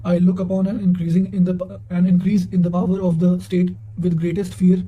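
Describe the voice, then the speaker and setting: A man's voice: high voice, completely flat delivery, fairly quick, lo-fi sound. A man reading quotations and study notes aloud in a formal manner, occasionally stumbling over words.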